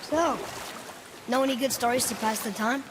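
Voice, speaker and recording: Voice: medium pitch, normal speed, noisy background, natural voice. A young performer playing a curious, chatty young boy in a video game, inquisitive and eager with casual phrasing. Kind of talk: naturalistic video game acting.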